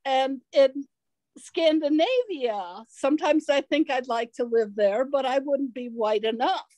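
High-voiced older woman, slow and earnest. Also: a little mellow, no room sound. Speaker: audience member asking a question. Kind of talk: casual discussion. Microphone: video call.

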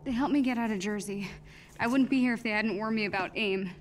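Actress as earnest young female fugitive, video game drama, higher pitch, insistent, background noise. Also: fast talker, lightly acted. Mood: urgent. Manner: plain-spoken, earnest, insistent. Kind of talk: video game cinematic acting.

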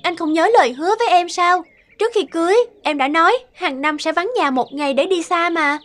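A woman voicing a gentle, secretive young village wife in an animated folktale, soft-hearted and coy. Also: extremely high pitch, fairly quick. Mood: reminding, firm. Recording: loud music behind the voice.

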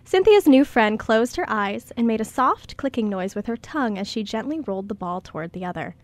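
A woman's voice: on the high side, lively intonation, brisk. A woman reading scripted story narration as the narrator of a radio play.

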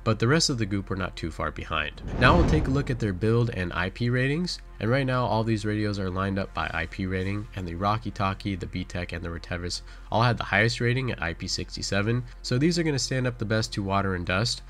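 A man's voice: on the low side, animated, fairly quick, hardly any pauses, fairly bright tone. A man delivering a scripted product review as channel host, in a matter-of-fact, instructional way.

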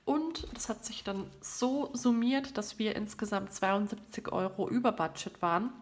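A woman's voice: medium pitch, slight background noise. A woman with a cold and a stuffy nose, chatting through her monthly budget at a desk and thinking aloud over the numbers. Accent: southern German. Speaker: budgeting channel host. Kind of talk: casual talk while doing a monthly budget. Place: at a desk indoors, hands over a planner.

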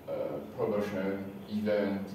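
A man with a French accent, slightly hoarse from being ill, giving a conference talk in halting English and often repeating words. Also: lowish voice, monotone, dark tone, slight background noise. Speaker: conference speaker. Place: large lecture room. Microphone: handheld mic, recorded from far back. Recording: echoey hall from far off.

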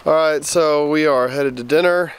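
A man in his thirties from Texas, chatting casually to a handheld camera outdoors on a farm, relaxed and reflective. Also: on the high side, normal speed, smooth. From Texas, USA. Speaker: vlogger. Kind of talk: casual vlog talk to camera. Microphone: camera held at arm's length.

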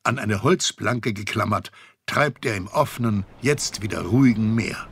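Gravelly, low-voiced male storyteller. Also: normal speed, a bit bright, a little hiss or hum. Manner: wry, storytelling. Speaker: narrator. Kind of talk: scripted children's story narration.

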